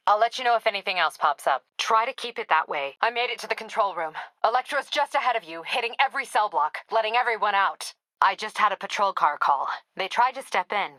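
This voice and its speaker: A woman playing a no-nonsense police captain in a video game, speaking in a brisk, clipped, authoritative way with dry delivery. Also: medium pitch, pushed and bright, no room sound, rough recording.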